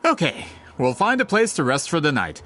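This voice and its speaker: Keen, polite merchant, fairly high voice, lively delivery, game cutscene. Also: male voice, normal speed, slight background noise. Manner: courteous, eager, slightly awkward. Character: polite eager male merchant, brisk and persistent.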